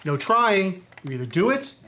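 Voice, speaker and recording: A man's voice: high voice, sing-song, normal speed, sharp tone, a little hiss or hum, exaggerated voice. A man in a staged play reading playing an eager, ingratiating office worker. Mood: flattering, upbeat.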